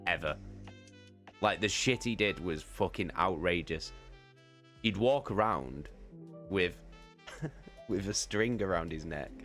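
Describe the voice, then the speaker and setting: A man casually telling a rambling story, excitable and sweary, hammering points home by repeating them. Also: low voice. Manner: sweary, excitable, emphatic repetition, giggly. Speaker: live streamer telling a story.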